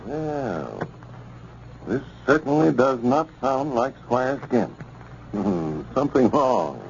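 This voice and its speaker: A male radio announcer reads a sponsor message in a measured, formal voice. His pitch rises and falls dramatically. The sound is a dull, hissy 1930s transcription. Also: very animated, gravelly. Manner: measured, formal, sincere delivery.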